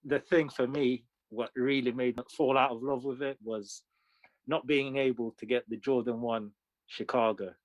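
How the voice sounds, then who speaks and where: A man's voice: medium pitch, fairly even intonation, normal speed, no echo, low-quality recording. A man in his thirties from Sudan, chatting casually and telling his story as an interview guest. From Sudan, moved to the UK when young. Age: 30s. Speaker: interview guest, sneaker collector.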